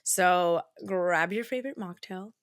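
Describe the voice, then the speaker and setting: A woman hosts a podcast in a bubbly, upbeat manner, leaning on "like" as she talks. Her voice is a bit low and sounds smooth and clear. It is recorded close and dry, with no room sound. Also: measured pace, dry recording. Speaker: podcast host. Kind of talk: casual interview chat.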